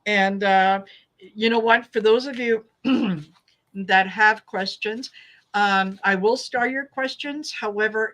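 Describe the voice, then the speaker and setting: A woman's voice: medium pitch, fairly bright tone. A woman hosting a tarot livestream, chatting casually and agreeably, laughing often. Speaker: tarot channel host.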